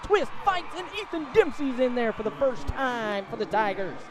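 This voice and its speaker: High-pitched man calling football play-by-play. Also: moderate pace. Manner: excitable, voice climbs to shouts on big plays. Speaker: play-by-play sports announcer. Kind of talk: live high school football radio commentary. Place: outdoors at a football stadium.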